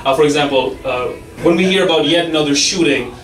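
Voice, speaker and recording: A young man doing a stand-up set on a club stage with a handheld mic, delivering mock-authoritative rules and quick asides. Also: fairly high pitch. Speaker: stand-up comedian. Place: comedy club stage, audience laughing. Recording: echoey club from far off, loud audience laughter.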